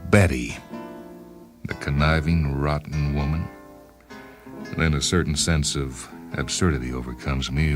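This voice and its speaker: A man's voice: rumbling low, pitched below the performer's own voice. A man playing a bitter, musing husband in Depression-era New York, narrating a radio short story.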